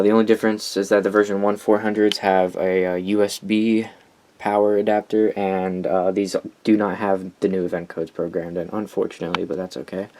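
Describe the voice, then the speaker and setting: Low-voiced young American man, casual and rambling. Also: a little mellow, a little hiss or hum. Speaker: hobbyist collector presenting weather radios. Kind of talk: casual show-and-tell vlog.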